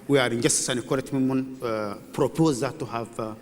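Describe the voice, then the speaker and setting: A man from Sudan, arguing as a panelist in a formal debate. He speaks in a middle pitch, earnestly and haltingly, stopping often to search for words. His voice trails off into the long echo of a large hall. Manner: earnest, halting, with frequent fillers. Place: debate hall with live audience.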